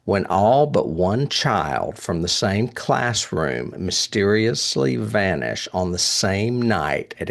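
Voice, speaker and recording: A man's voice: sits low, gravelly. A man hosting a casual film review chat, speaking with enthusiasm and often chuckling. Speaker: podcast host reviewing horror films.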